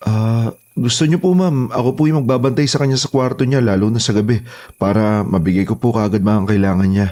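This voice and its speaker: A man's voice: on the low side, a little fast, mellow, lightly acted. A man with a Filipino accent playing a respectful, eager new houseboy in a horror audio drama. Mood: earnest. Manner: respectful, deferential. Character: polite, eager, deferential new male houseboy.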